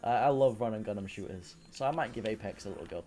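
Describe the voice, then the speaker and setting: Young male streamer, Welsh accent worn English, warm low-key tone, loose rambling chat. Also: fast talker. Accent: Welsh with English influence. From Wales. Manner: rambling, swears casually, answers chat. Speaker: live streamer. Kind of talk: casual live-stream chat.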